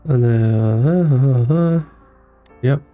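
A man's voice: mid-range voice, very slow, narrow, tinny audio, soft background music. A young man streaming a game from a small room, reacting casually in a rambling, muttering, playfully exasperated way. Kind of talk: casual live gameplay reaction.

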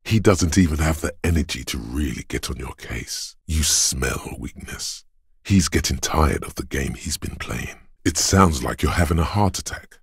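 An actor playing the grave, commanding inner voice of a battered detective's body in a video game, gravelly and husky, speaking with solemn, portentous deliberation. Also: male voice, rumbling low, animated, moderate pace, no echo, studio quality, exaggerated voice. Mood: gruff, dry. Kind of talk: solemn dramatic game narration.

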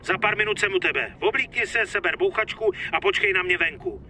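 A man's voice: high-pitched, bright, forceful tone, slight background noise. An actor playing a hot-headed, foul-mouthed mobster in his thirties, with coarse, blunt delivery in a dubbed crime game. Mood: brusque. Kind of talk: dramatic dubbed video game acting.

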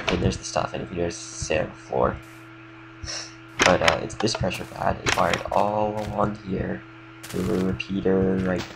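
A young man with a slight cold, casually explaining a game build in a relaxed, rambling way with lots of fillers. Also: low-pitched, vocal fry, very noisy. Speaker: game tutorial creator. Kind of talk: casual gameplay tutorial commentary.

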